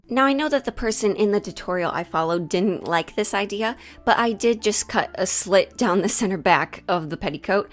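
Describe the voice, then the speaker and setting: A woman hosting a sewing vlog in a chatty, jokey, self-deprecating way, moving between casual asides and scripted narration. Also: mid-range voice. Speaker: sewing vlogger and host.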